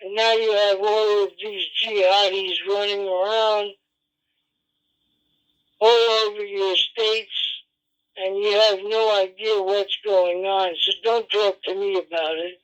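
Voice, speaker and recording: A man's voice: high voice, little pitch movement, slow pace, fairly bright tone, clear, resonant tone. A man arguing as an amateur radio operator, insistent and combative, repeating himself and swearing over a lo-fi digital radio link. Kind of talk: radio argument. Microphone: radio transceiver microphone. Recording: dull lo-fi digital ham radio transmission.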